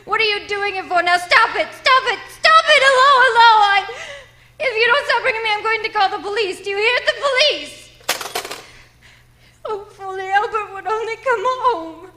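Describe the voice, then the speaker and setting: An actress playing a nervous, demanding, self-pitying bedridden wife in a radio thriller, with an imperious, complaining, overwrought delivery. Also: female voice, extremely high pitch, moderate pace, bright, forceful tone, a little hiss or hum. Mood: hysterical, frantic. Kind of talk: radio thriller play acting.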